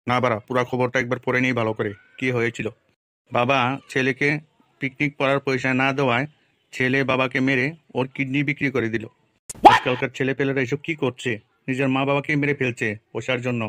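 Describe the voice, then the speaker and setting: A man's voice: mid-range voice, flat delivery, brisk, fairly bright tone, dry recording, close to an everyday voice. A man voicing grumpy, foul-mouthed village men in a comic cartoon, coarse and exasperated.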